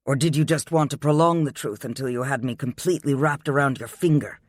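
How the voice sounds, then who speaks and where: A man's voice: medium pitch, fairly quick, dry recording, close to an everyday voice. A man voicing a cold, furious husband who sneers with icy contempt. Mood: icy sneering.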